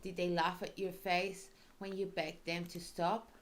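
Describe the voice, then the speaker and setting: A woman acts out a smothering visual-novel mother. She speaks slowly in a slightly low voice, cloying, scolding and manipulative. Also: level tone, natural voice. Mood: cloying, pitying.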